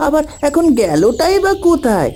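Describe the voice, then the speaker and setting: A woman's voice: high-pitched, very animated, normal speed, warm tone. A woman voicing an anxious, inquisitive talking monkey in a fairy-tale cartoon, full of worried questions.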